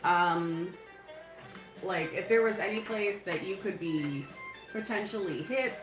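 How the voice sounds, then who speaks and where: A woman's voice: on the low side, takes it slowly, roomy sound, music in the background, rough recording. A woman hosting a live stream, talking in a loose, rambling way full of fillers. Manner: breaks into laughter. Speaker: livestream host.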